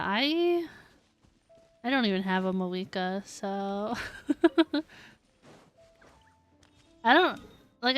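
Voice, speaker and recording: A woman's voice: mid-range voice, a bit bright, clear, resonant tone. A woman livestreamer chatting casually with viewers over a game, in a playful, teasing, giggly way. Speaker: livestreamer, VTuber. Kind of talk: casual gaming stream chat with viewers.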